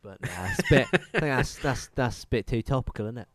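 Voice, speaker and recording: A man's voice: medium pitch, lively intonation, fairly bright tone, no room sound, studio quality. A man co-hosting a casual podcast, rambling and swearing, with a bunged-up nose. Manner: rambling, sweary, quick to laugh. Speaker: podcast co-host. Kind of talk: casual chat.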